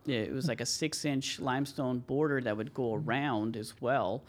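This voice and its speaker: A man's voice: mid-range voice, steady tone, moderate pace. A man who works as a tile contractor, telling stories as a podcast guest in a rambling way, full of fillers and "you know". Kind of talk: casual interview storytelling.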